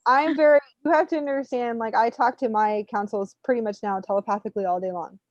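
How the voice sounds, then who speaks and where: A woman giving an unscripted spiritual reading, talking in an animated, informal, rambling way as she coaches. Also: on the high side, quick pace. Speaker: spiritual reader hosting a live readings session. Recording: muffled, roomy, lo-fi online stream.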